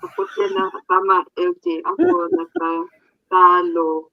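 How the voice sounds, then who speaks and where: A person in a casual voice chat, rambling and halting with many fillers, switching between Tagalog and English. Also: medium pitch, big pitch swings, no echo. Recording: dull lo-fi audio.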